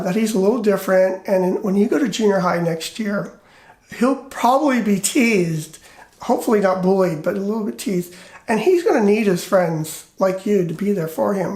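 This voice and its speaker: A middle-aged American man with a light, effeminate voice, telling personal stories casually in a rambling, animated way and acting out quoted dialogue. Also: sits high. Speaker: retired teacher. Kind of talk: casual personal storytelling and commentary. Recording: roomy, echoey indoor sound.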